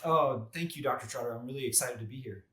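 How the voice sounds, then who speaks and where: A man's voice: medium pitch, fast talker, fairly bright tone. A man who is a dermatologist, explaining medicine as a guest in a podcast interview and often repeating a word as he restarts. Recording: roomy echo.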